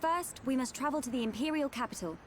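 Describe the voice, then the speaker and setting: A woman playing a courteous, poised young noblewoman in a video game, speaking in a formal, measured way. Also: sits high, a little hiss or hum, lightly acted. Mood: composed. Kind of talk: video game cutscene acting.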